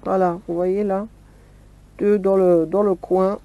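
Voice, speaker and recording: Slow-talking woman demonstrating crochet. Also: medium pitch, level tone, narrow, tinny audio. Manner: slow, step-by-step, fond of "voilà". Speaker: crochet vlogger. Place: indoors in a camper van at a table. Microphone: camera filming her hands from close.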